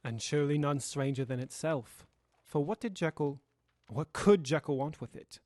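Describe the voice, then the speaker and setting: A man acts out a determined gentleman lawyer of Victorian audio drama. His voice sits a little high, and his delivery is formal, old-fashioned and declamatory, recorded dry. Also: normal speed, dry recording, close to an everyday voice. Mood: grave, puzzled.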